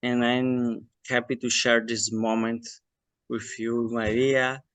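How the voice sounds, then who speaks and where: A man interviewing an author, speaking slowly and earnestly and addressing the viewers directly. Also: mid-range voice, flat delivery, fairly bright tone, no echo. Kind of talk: interview questions.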